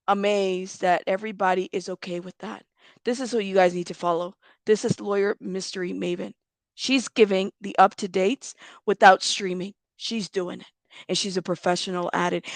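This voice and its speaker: A woman's voice: medium pitch, fairly bright tone, dry recording. A woman hosting a commentary channel, ranting bluntly and profanely, repeating points for emphasis. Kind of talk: heated commentary rant.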